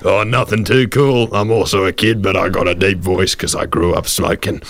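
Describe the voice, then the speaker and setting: A man's voice: low-pitched, steady tone, moderate pace, vocal fry, fairly bright tone, no echo, voice pushed down. A man playing a cool schoolboy show-off who talks like a little smoker, in a comedy sketch. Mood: cocky, cool. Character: cool smoking deep-voiced schoolboy, showing off to friends.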